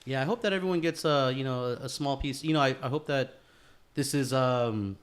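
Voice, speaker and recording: A man's voice: medium pitch, fairly quick, smooth. A man talking as a podcast host in a casual chat, earnest and sweary, with bro-style slang.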